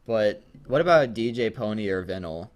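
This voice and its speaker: A man co-hosting a fan fiction reading show, chatting casually and jokingly in a rambling, halting way with some stammering. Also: on the low side, animated.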